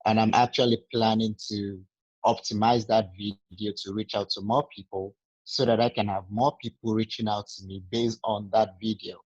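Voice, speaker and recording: A man's voice: lowish voice, little pitch movement, normal speed, darkish tone, slightly rough, no echo. Nigerian man with a dull, dry sound. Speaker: sales agent. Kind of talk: casual group training talk.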